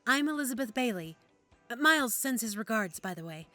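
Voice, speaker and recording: A woman playing a nervous, polite medical examiner in an audio drama, speaking in a hesitant, halting way. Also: a little high, animated, bright, forceful tone, no room sound, faint music behind. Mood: awkward. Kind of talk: naturalistic audio drama dialogue.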